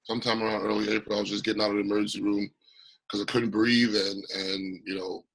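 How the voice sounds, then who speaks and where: Raspy-voiced man, passionate candidate. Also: fairly low pitch, flat delivery, fast talker, fairly bright tone, low-quality recording. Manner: passionate, emphatic. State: recovering from illness. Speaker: congressional candidate, guest. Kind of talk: remote interview.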